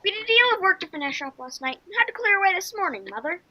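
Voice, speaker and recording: A woman's voice: very high voice, sing-song, rapid-fire, pushed and bright, heavily acted. A woman playing an eager, breathless youngster in a radio play. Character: eager, breathless young child of poor family.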